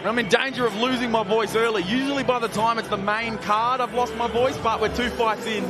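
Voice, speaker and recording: A man giving excitable live play-by-play commentary on a cage fight, often shouting. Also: very high-pitched. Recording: loud crowd and music behind.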